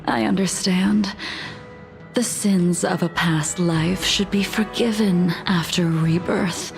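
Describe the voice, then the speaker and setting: Slow, breathy, dangerous swordmaster. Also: female voice, on the low side, fairly even intonation, soft background music. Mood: calm, knowing. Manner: unhurried, breathy, softly menacing. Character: serene, ancient, dangerous female swordmaster, coolly knowing and melancholy. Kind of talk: video game voice acting.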